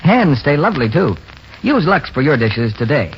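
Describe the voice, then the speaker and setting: A male announcer delivers a 1940s radio commercial. His voice is fairly high and very animated, on a dull recording with faint noise. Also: moderate pace.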